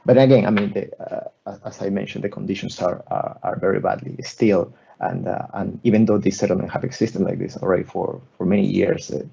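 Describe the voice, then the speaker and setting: A man in his thirties presents his work over a video call, speaking haltingly, often restarting and repeating words. His low voice is heavily gravelly and husky, with a dark, mellow tone. The call audio sounds dull and muffled. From Peru. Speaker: guest architect lecturer. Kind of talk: online lecture presenting slides.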